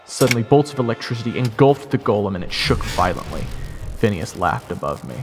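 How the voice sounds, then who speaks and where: A man's voice: lowish voice, very animated, a little fast. Man narrating a story over music. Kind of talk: first-person scripted story narration.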